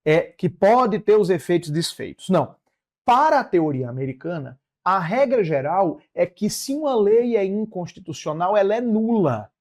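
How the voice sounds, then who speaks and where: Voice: medium pitch, big pitch swings, moderate pace, a little mellow. A Brazilian law teacher giving an explanatory lecture, didactic, repeating and rephrasing key phrases with short check-in tags.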